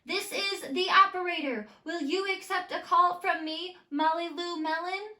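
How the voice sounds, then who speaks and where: A woman acts out a plucky, imaginative little storybook girl. The voice is high and emphatic. The room adds a clear echo. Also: steady tone, measured pace, pushed and bright, exaggerated voice. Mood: playful, inviting.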